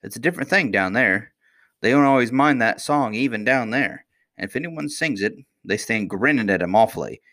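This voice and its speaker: A man's voice: medium pitch, expressive, brisk, a bit bright, voice pushed up. Man playing a bold, cheerful young miner boy. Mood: matter-of-fact, bold. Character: cheerful, fearless twelve-year-old miner boy.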